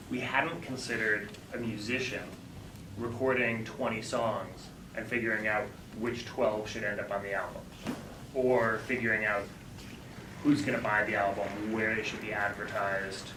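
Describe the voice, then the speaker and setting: A man who founded a startup pitches his company and answers audience questions in a conversational, explanatory way, with quick self-corrections. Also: fairly even intonation. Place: event room. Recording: echoey, distant, noisy room.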